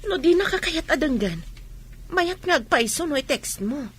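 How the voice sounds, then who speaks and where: A woman's voice: high-pitched, animated, normal speed. An actress playing an opinionated, sympathetic female friend in a radio drama, frank and gossipy. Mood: indignant.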